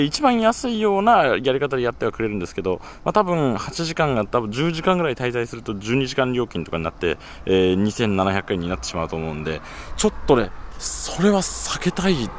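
Lively young man chatting fast on a handheld camera. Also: medium pitch, slight background noise. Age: young adult. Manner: chatty, explains costs and rules in detail. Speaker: travel vlogger. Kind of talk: casual travel vlog narration. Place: outdoors at night, then an internet cafe booth.

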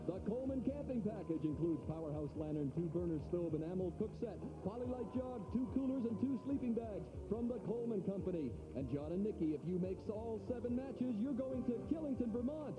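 High-pitched upbeat game show announcer man. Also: lively intonation, brisk, dull audio, over music. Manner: smooth upbeat announcer delivery. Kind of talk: scripted prize announcing.

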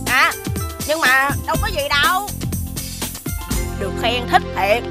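A woman's voice: high-pitched, big pitch swings, unhurried. A woman voicing an eager, boastful little boy who loves playing the hero in a children's cartoon. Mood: pleased, eager. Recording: music behind the voice.

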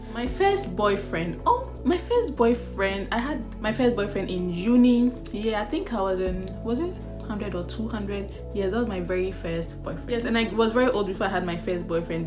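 A young woman with a Ghanaian accent chats casually to her viewers. She tells her stories animatedly and laughs or sighs along the way. Her pitch sits in a middle range, and music plays clearly behind her. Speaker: vlogger. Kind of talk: casual chat.

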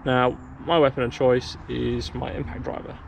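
A young man casually explaining a DIY build outdoors, talking to a handheld camera in a relaxed, rambling way. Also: mid-range voice, moderate pace, vocal fry, slight background noise. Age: young adult. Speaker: sailing vlogger. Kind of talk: casual how-to vlog. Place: outdoors in a garden, later in a shed. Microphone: handheld camera at arm's length.

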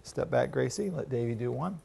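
A man's voice: mid-range voice, lively intonation, brisk, vocal fry, warm tone, husky. A middle-aged man, a chef, patiently walking children through a recipe in a home kitchen. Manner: patient, instructive, coaching kids. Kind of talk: cooking demonstration.

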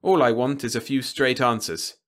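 A man's voice: medium pitch, steady tone, moderate pace, voice pushed up, natural voice. A man voicing an earnest, determined male news operator who talks in an urgent, insistent way.

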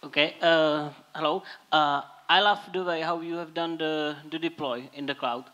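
A man asks a question from the audience at a conference. His voice sits a bit high and is bright, with very little rise and fall. The style is informal. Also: fairly even intonation, moderate pace. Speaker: audience member asking a question. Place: audience in a large brick-walled conference hall.

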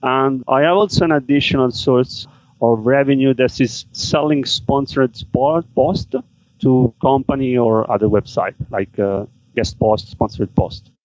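A man answers questions in halting, careful English. The audio is dull and muffled, like a remote call, and very dry. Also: mid-range voice, a little mellow, dry recording. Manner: halting non-native English with fillers. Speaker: guest, business seller. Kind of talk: interview answers.

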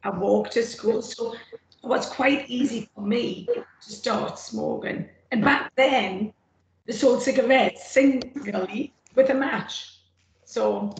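A middle-aged woman with a rough, gravelly throat, giving an earnest, plain-spoken personal testimony from her notes on a video call. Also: fairly high pitch. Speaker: former smoker speaking at a roundtable. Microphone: computer mic on a video call. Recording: echoey room, faint noise.

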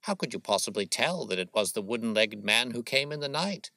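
A man voicing a shrewd, smooth-talking gentleman detective, suave and probing, in an audiobook story. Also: mid-range voice, animated, brisk, no room sound, pitched above the performer's own voice. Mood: bland, persuasive.

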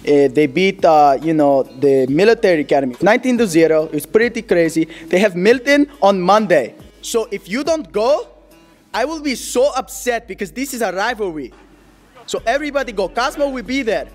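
High-pitched goofy puppet sportscaster bro, voiced by a man. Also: animated, normal speed, darkish tone, soft background music. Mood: cocky, insistent. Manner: rambling, slangy, emphatic, filler-heavy. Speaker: sports segment host. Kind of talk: comic sports news commentary.

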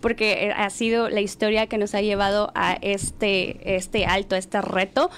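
A woman's voice: mid-range voice, little pitch movement, moderate pace, fairly bright tone, slight background noise. A woman raised in the United States, talking as a guest in a casual panel discussion, laughing often and restarting her sentences.

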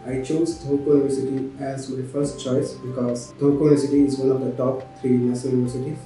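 A man's voice: medium pitch, flat delivery, fast, dark tone. A man from India, a research assistant professor, introducing himself in a formal, plain way during an interview. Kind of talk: interview answer to camera. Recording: echoey room, distant mic, faint music.

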